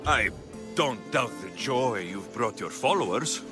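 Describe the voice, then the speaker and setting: An actor playing a sceptical, muscular mercenary hero in a video game, speaking in a dry, sardonic manner. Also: male voice, medium pitch, expressive, unhurried, over music, close to an everyday voice. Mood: dryly amused. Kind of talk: video game cutscene acting.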